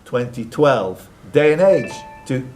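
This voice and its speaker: A man's voice: medium pitch, very animated, slow, a little mellow, soft background music. A man hosting a live quiz, reading out questions with jokey, teasing asides to the contestants. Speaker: quiz host and question reader.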